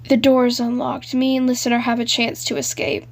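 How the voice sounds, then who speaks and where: A woman's voice: fairly high pitch, little pitch movement, normal speed, gravelly. A woman playing a scared teenage boy. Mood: worried. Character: frightened teenage boy trapped with listener.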